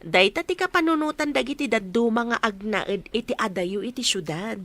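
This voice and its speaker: A woman's voice: on the high side, expressive, moderate pace, a bit bright, no echo. A woman playing a curious, opinionated villager in an Ilocano radio drama.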